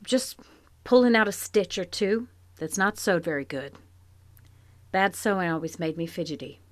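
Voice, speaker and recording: A woman's voice: fairly low pitch, animated, normal speed, no room sound, lightly acted. An actress playing a shrewd, plainspoken farm wife in a live audio drama, wry and rural in her talk. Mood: searching. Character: shrewd, sympathetic farm wife, middle-aged. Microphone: home closet mic, dry.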